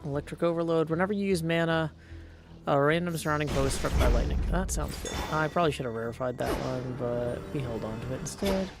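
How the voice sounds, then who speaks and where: A man's voice: on the high side, quick pace, vocal fry. A man streaming a game, casually musing and deliberating aloud as he plays. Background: music.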